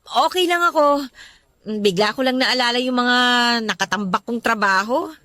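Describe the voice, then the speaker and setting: A woman's voice: a little high, normal speed, pushed and bright, no echo. A Filipino woman playing a busy young office worker in a Tagalog radio romance drama, casual, apologetic and guarded. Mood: subdued, stunned.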